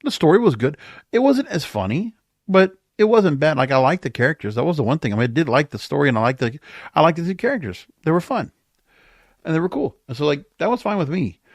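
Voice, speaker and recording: A man's voice: on the high side, sing-song, fast talker, dry recording, studio quality. A man hosting a podcast alone in a casual, conversational, rambling way, as if thinking aloud. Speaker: podcast host. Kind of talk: casual solo monologue. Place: home or small studio.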